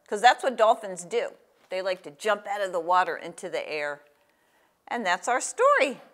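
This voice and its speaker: Older woman with a lively, bright voice. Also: medium pitch. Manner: encouraging, simple questions to a young child. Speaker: children's story time and craft host. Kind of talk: reading aloud and guiding a child through a craft. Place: small indoor room with table. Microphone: lapel.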